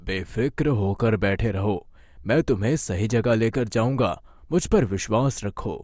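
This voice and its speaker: A man voicing a mysterious, fatherly rickshaw driver in a cartoon, calm and reassuring. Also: fairly low pitch, unhurried, dry recording, studio quality. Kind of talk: Hindi moral-story cartoon dub.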